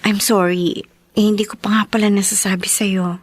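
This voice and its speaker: A woman's voice: medium pitch, little pitch movement, moderate pace, dry recording, natural voice. An actress playing an apologetic, anxious young career woman in love, hesitant, in a radio drama. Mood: apologetic, pleading. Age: young adult. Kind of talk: Tagalog radio drama acting.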